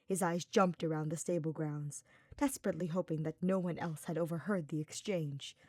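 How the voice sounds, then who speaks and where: A woman reading scripted fiction as an audiobook narrator, in an expressive, deliberate storytelling style. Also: low voice, dry recording.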